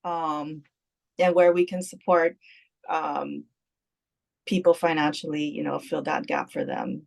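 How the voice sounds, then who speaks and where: Low gravelly lady on a zoom call, explaining slowly, lots of ums. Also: expressive. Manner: thoughtful, many fillers and restarts. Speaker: community programs director. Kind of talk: answering questions on a video call. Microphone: video call.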